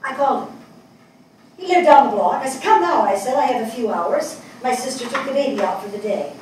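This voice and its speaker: A woman's voice: high-pitched, expressive, brisk. An older woman on stage acting a reflective, wry middle-aged journalist from New York in a dramatic monologue. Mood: earnest, wry. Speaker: stage actress in a dramatic reading. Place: indoor small theatre stage. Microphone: far camera. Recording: echoey theatre, distant mic, faint noise.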